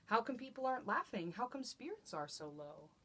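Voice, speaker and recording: A woman reading a storybook, voicing an earnest, hopeful male court jester in expressive rhyme. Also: on the high side, fairly quick, breathy edge, voice pushed up, full character voice. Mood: worried.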